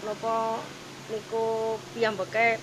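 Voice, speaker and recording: An older woman with a Javanese accent, chatting haltingly as a local resident answering questions. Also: fairly high pitch, monotone. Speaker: local resident being interviewed. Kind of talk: casual chat, answering questions. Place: outdoors at a brick house doorway. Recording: noisy outdoor recording with traffic.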